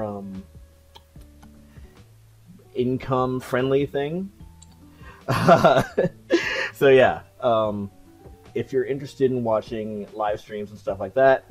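A person in their late twenties chatting casually to camera in a vlog, relaxed and full of fillers and soft laughs, on a headset mic in a small room. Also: medium pitch, low-quality recording. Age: late 20s. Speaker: video creator. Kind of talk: casual talking-head vlog. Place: small cluttered room indoors.

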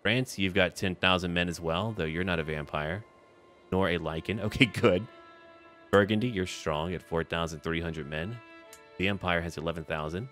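A man's voice: low voice, moderate pace, fairly bright tone. A man giving casual, in-character commentary on a strategy game, thinking aloud in short remarks as if talking to the characters. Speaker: gaming video host. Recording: music behind the voice. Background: background music.

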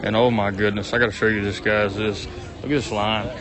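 A man's voice: on the low side, flat delivery, a little fast. Man, casual family vlogger, rambling and upbeat, phone mic outdoors with a crowd behind. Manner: chatty, rambling, enthusiastic, many ums and uhs. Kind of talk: casual walk-and-talk vlog. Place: busy outdoor pumpkin farm. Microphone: handheld phone camera. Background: crowd chatter.

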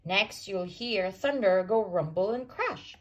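Woman doing storytime for little kids, really animated sing-song reading, takes it nice and slow. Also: mid-range voice. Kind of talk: children's picture book read-aloud, rhyming verse.

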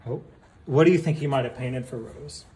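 A man reading a picture book aloud like a teacher, deliberately, with casual asides and questions for young listeners. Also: mid-range voice, darkish tone, slight background noise, low-quality recording.

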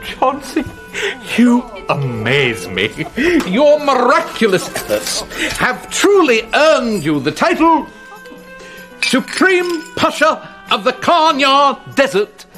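A jolly, self-important middle-aged king. His voice is remarkably high and slow, with grand swoops and a little music underneath, fit for a comic fantasy game. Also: very animated, sharp tone, full character voice. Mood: amazed. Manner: pompous, grandly drawn-out.

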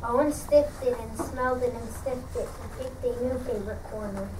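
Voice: medium pitch, moderate pace. A child reading scripted story narration aloud as part of a classroom play. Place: school classroom, indoors. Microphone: distant camera. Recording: echoey classroom, distant mic, noisy.

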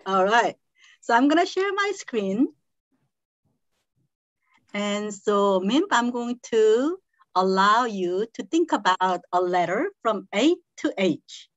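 Woman calmly leading an online game. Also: mid-range voice, slow, dry recording. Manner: patient, explaining step by step with soft laughs. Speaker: game facilitator. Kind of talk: casual guiding of an online game. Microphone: video call. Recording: dull, dry video call audio.

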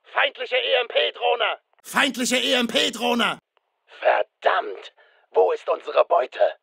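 An actor playing a masked slasher killer in a video game, raspy, giving terse callouts with mocking menace. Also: male voice, very high voice, animated, slow, pushed and bright, no echo, clean studio sound, full character voice. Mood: terse, alert. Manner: taunting, sardonic, terse.

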